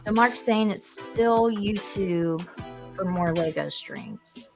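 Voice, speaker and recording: A woman hosting a casual livestream chat, telling stories in an animated way and acting out the quotes. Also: mid-range voice, slow pace, music in the background. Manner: animated storyteller, acts out quotes. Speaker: host.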